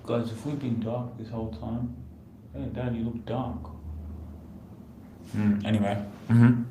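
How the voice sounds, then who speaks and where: A man's voice: medium pitch, level tone, measured pace. A man from Kenya chatting casually on a live stream, with lots of "like". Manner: chatty, says "like" often. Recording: roomy echo, distant mic, faint noise.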